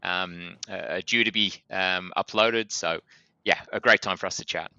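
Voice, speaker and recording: Deep-voiced Australian man, bright and dry. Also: no room sound, dull audio. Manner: brisk, businesslike explaining with many fillers. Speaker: guest from a funding company. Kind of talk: podcast interview.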